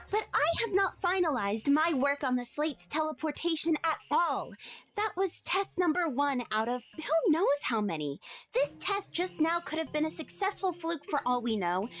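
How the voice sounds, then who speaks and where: A woman voicing a bossy, unfazed little-girl scientist in an audio drama, teasing and brisk. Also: sits high, big pitch swings, fairly bright tone, full character voice. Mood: businesslike. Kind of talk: fan audio drama acting.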